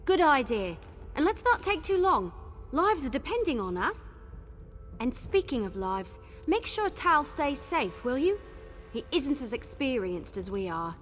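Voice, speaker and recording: A spirited young female scout from a fantasy game, speaks in a high, very lively voice. She is chatty and mischievous, yet protective of her comrades. Music plays underneath. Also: unhurried. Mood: brisk, earnest. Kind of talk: video game character acting. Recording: game dialogue over background music.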